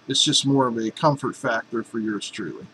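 A man's voice: medium pitch, normal speed, pushed and bright, slight background noise, rough recording. A man in a home workshop explaining a welding torch swap in a casual, joking, folksy way. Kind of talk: casual workshop how-to narration.

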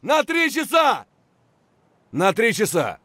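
An aggressive, coarse soldier from a military shooter game. His callouts are short and punchy, with big swings in pitch, and the recording is dry and close. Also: huge pitch swings, takes it slowly, bright, forceful tone, no room sound, exaggerated voice. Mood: urgent, aggressive. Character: aggressive, foul-mouthed Russian mercenary soldier in combat. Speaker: video game voice actor. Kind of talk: military shooter combat barks.

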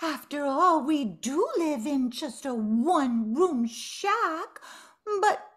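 A woman's voice: high-pitched, expressive, takes it slowly, fairly bright tone, full character voice. An older woman playing a sweet, naive young granddaughter in a radio melodrama, with theatrical, wailing delivery. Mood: plaintive. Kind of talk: old-time radio melodrama acting. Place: at home on a group video call.

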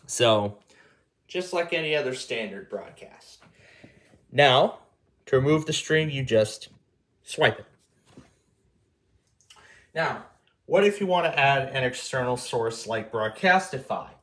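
A man's voice: medium pitch, animated, takes it slowly, long pauses, a bit bright. A man giving a casual step-by-step tutorial, often repeating and rephrasing himself as he explains. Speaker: tutorial host. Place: indoor room at a table.

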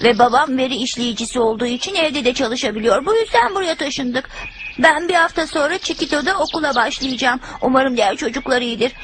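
Eager cartoon boy, high-pitched. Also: lively intonation, fairly quick, noisy background. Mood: chatty, excited. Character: eager, adventurous schoolboy, friendly and chatty. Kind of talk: dubbed cartoon acting.

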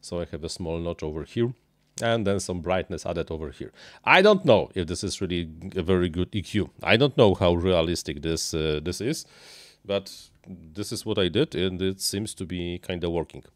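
Give me a low, nasal-voiced man with halting speech. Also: lively intonation, dry recording. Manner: halting, many uhs and restarts, non-native phrasing. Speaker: RC hobby streamer building a model plane. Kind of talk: improvised live-stream chat while building. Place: small home workshop desk, indoors. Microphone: dynamic microphone on boom arm, headphones.

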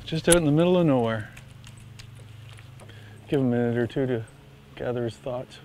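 A man in his thirties, a bass angler, chatting casually while fishing from a boat on a lake, chuckling and talking to the fish. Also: normal speed, darkish tone, a little hiss or hum. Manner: easygoing, chuckles, talks to the fish. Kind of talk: casual on-water commentary.

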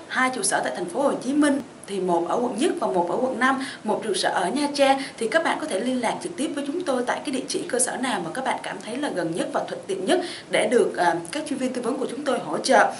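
High-voiced young woman presenting, echoey room. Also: animated, fast talker. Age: young adult. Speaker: study-abroad consultant presenting a promotional programme. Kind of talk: scripted presentation to camera. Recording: echoey room with faint noise.

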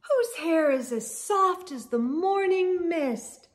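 A middle-aged woman hosting a children's storytime, reading aloud expressively with drawn-out words and gentle asides. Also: very high-pitched, expressive. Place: indoor room lined with bookshelves.

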